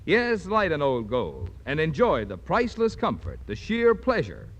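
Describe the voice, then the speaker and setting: A man's voice: a little high, huge pitch swings, moderate pace. A man with an American accent, working as a radio announcer reading a 1940s sponsor message in a scripted style. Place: radio studio rehearsal. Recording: hall reverb, dull noisy 1940s transcription.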